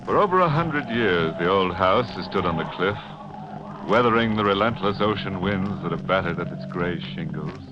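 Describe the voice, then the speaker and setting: A man playing a ghoulish, mock-genial host of an old radio horror show, with a drawn-out theatrical delivery. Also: low voice, lively intonation, background noise. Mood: ominous. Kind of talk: 1940s radio horror narration. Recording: dull old radio recording with music.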